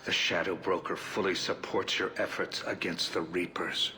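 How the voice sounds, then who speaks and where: Low, sharp-toned alien financial broker. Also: male voice, flat delivery, moderate pace. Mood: courteous, businesslike. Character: alien Volus financial advisor, male, courteous shady broker's agent. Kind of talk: video game dialogue.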